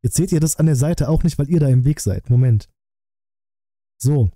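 Very mellow man streaming, close and dry. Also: medium pitch, normal speed, dry recording. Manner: chatty, addressing viewers. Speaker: streamer reacting to a film review. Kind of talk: casual live-stream commentary. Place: small room at a streaming setup. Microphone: close desk microphone, headset worn.

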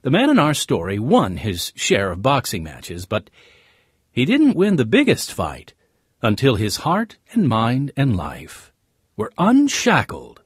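A man's voice: medium pitch, wildly swooping pitch, measured pace, breathy edge, dry recording, studio quality. A man announcing and narrating a radio drama series in a scripted delivery. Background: music behind the voice.

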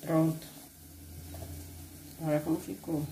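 A woman's voice: low voice, little pitch movement, slow, a little hiss or hum, poor audio. A woman chatting casually to her viewers while she cooks in a small home kitchen, filmed on a phone. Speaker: vlogger cooking at home. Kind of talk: casual cooking vlog narration. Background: loud sizzling pan and kitchen clatter.

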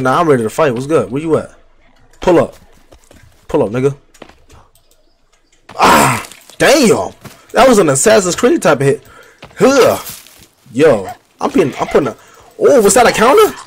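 A young man talking into a headset mic at his home gaming setup, rambling through a survival game in loose slang. He is getting over a cold, so his voice sits a little deeper and rougher than usual. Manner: loose slang-heavy chatter, trash-talking enemies. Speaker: livestreamer. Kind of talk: casual gameplay commentary.

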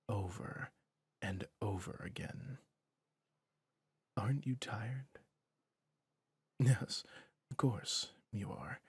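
A man acts out a weary male villain in an intimate ASMR audio drama. His voice is very breathy and fairly low, often close to a whisper. He speaks slowly and pensively, gentle toward his rival. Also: no echo, exaggerated voice. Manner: slow, soft, pensive, with deliberate pauses.

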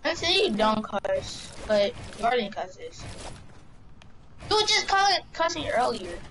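A woman's voice: a little high, very animated, crowd noise. Bossy bantering woman gamer. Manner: bossy, bantering, quick to accuse. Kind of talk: casual gaming chat.

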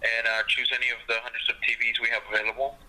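A man's voice: mid-range voice, level tone, rapid-fire, bright, forceful tone. A polite, hesitant man working as a customer service representative on a phone call. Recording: noisy telephone line.

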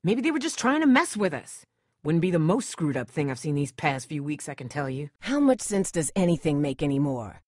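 A woman's voice: low-pitched, big pitch swings, brisk, darkish tone, no room sound, clean studio sound. A woman acting a tough, distrustful and protective survivor in a dramatic video game, blunt, sardonic and guarded. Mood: wary, bitter.

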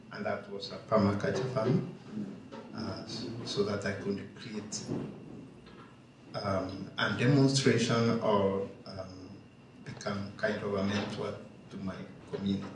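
A man with a Kenyan accent talks slowly and thoughtfully, pausing as he works through his answer. His lowish voice rings out through a large wooden barn hall. It is caught from across the room, with faint room noise underneath. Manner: slow, thoughtful, halting. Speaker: panelist, farmer. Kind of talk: panel discussion remarks. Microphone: handheld mic, far camera. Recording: echoey barn from far off.

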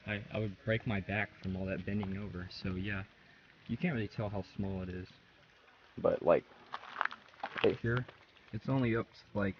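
A man's voice: fairly low pitch, steady tone, normal speed, pauses often, lo-fi sound, background noise. A young man in his teens, recording himself on a phone by a small creek. He narrates a fishing trip in a rambling, easygoing way, often saying he isn't sure. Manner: rambling, laid-back, hedging with "I don't know". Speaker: fishing vlogger. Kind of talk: casual vlog commentary. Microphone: phone held at arm's length.

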